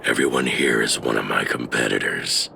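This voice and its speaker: Paranoid grumpy game dude, super croaky low voice, agitated, music behind. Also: male voice, normal speed, sharp tone, slight background noise, heavily acted. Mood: suspicious, hostile. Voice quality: gravelly. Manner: agitated, distrustful, blustering. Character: paranoid, hot-tempered, suspicious man at an auction. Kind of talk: animated game voice acting.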